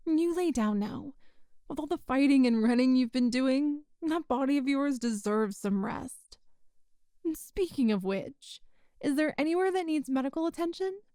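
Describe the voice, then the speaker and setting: A woman playing a kind, earnest human rescuer in an ASMR roleplay, speaking in a gentle, reassuring, folksy way to the listener. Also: high voice, animated, moderate pace, no echo, clean studio sound. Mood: friendly, caring.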